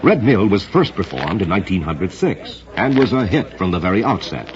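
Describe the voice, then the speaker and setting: A man's voice: deep voice, sing-song, normal speed, a crowd in the background. An American man announcing as a radio program host in a formal, polished, theatrical old-time style, reading from a script. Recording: dull old radio transcription, music behind. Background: music.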